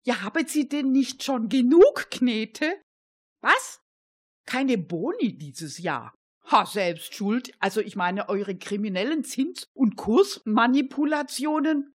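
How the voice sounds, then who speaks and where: Woman playing a flustered, high-swooping amateur sleuth. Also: lively intonation, takes it slowly, slightly rough, heavily acted. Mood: indignant. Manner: flustered, stammering, exclaiming. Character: excitable woman amateur detective, stammering and indignant. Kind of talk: amateur radio play acting.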